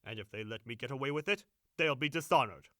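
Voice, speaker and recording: A man's voice: mid-range voice, fast talker, a bit bright, dry recording, clean studio sound, close to an everyday voice. An actor playing a brash, boastful young male servant with a crude swagger in an audio drama. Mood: cocky.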